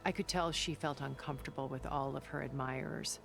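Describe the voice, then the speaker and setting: An actress playing a composed, secretive older woman in a video game, speaking in a measured, formal, articulate way. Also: extremely low pitch, fairly even intonation, gravelly, background noise. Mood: wistful, admiring. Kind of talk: dramatic video game dialogue. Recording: background music under the voice.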